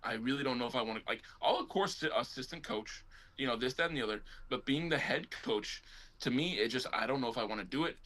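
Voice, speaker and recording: A man co-hosting a casual sports talk show, telling rambling, animated stories about coaching kids, loud when he gets excited. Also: mid-range voice, quick pace. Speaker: podcast co-host and youth basketball coach.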